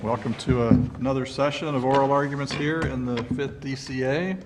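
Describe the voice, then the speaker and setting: A man's voice: mid-range voice, fairly even intonation, moderate pace, background noise. A man presiding over an appeals court session, easygoing and joking as he sets out the rules. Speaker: presiding judge. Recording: long courtroom reverb.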